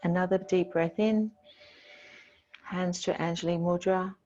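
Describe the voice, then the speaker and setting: Low, even-voiced woman guiding yoga. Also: muffled recording, faint music behind. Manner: gentle, soothing, unhurried instruction with pauses. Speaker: yoga teacher. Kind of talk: guided yoga and meditation instruction.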